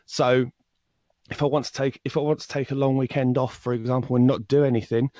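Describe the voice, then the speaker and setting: A man's voice: medium pitch, fairly even intonation, a little fast, warm tone, no echo. Man, podcast guest, casual interview, long-winded step-by-step explaining, repeats words before carrying on, muffled dull recording.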